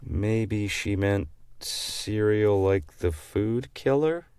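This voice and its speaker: A man playing a dim, earnest and excitable teenage boy in a comedic audio sketch, goofy and defensive. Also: fairly low pitch, extremely slow, smooth, no echo, studio quality, heavily acted. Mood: goofy, baffled.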